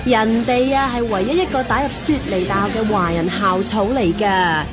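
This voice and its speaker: A woman's voice: medium pitch, normal speed, over loud music, lightly acted. An actress playing a pushy, outgoing young female student in a Cantonese radio drama, exclamatory and teasing. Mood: gossipy.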